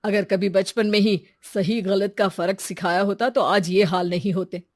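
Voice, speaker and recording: Woman plays a thoughtful older brother, steady and earnest, mid-pitched. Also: normal speed, no room sound, clean studio sound, close to an everyday voice. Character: responsible elder brother, calm and thoughtful.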